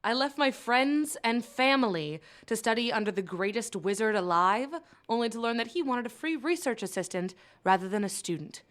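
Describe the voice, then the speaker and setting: A woman's voice: a little high, expressive, moderate pace, few pauses, fairly bright tone. An actress playing a young female mage and theology student, scholarly and tart-tongued, with a dry, sardonic manner. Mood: resolute. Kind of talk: audio drama acting.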